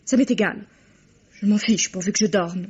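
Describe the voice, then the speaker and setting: An actress playing a haughty, frightened young woman in a vintage radio drama, defiant and sharp-tongued. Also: medium pitch, normal speed, breathy edge. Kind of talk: 1950s French radio drama acting. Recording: dull old radio recording.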